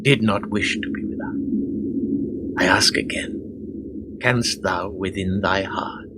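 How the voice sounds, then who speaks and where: A man playing a proud, tormented medieval lord in a radio drama, declaiming archaic English with dramatic pauses. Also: low voice, steady tone, very noisy. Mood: regretful, hushed. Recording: radio play with loud music behind.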